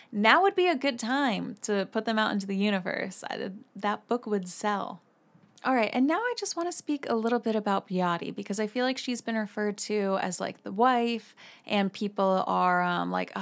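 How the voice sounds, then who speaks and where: A young adult woman, hosting a casual gossip podcast and chatting freely with quick asides and the odd swear. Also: mid-range voice. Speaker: podcast host.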